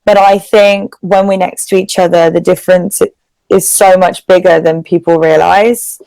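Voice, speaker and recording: A woman's voice: on the low side, flat delivery, normal speed, gravelly, dark tone, dry recording, rough recording. A woman from England with a British accent, chatting casually as an online English tutor and using simple phrasing for a learner. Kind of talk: casual conversational lesson. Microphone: video call.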